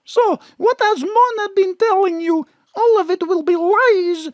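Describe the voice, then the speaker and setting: A woman's voice: extremely high pitch, measured pace, a little mellow, dry recording, studio quality. A woman playing a scheming female Russian agent with a heavy Russian accent and broken English. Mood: menacing. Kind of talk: audio drama acting.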